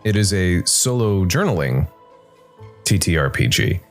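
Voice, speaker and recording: Very low-pitched man narrating a story over music. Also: big pitch swings, a little slow. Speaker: podcast host and narrator.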